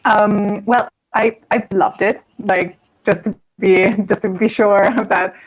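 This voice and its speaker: A woman's voice: mid-range voice, fairly even intonation, takes it slowly, low-quality recording. A woman in her twenties, enthusiastic but hesitant, with many "um"s. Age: 20s. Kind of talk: casual video chat.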